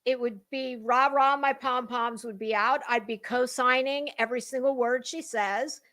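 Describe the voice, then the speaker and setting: Woman, high voice, casual online commentary monologue, emphatic delivery, deliberate pauses, repeated words. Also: steady tone, a bit bright. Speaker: online drama commentator and livestream host. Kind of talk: casual dramatic monologue about online community gossip.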